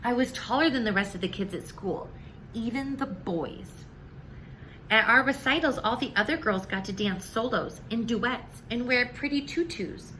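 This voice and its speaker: Female children's storyteller, expressive and emphatic, slightly high bright voice, some background noise. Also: moderate pace, low-quality recording. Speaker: read-aloud storyteller.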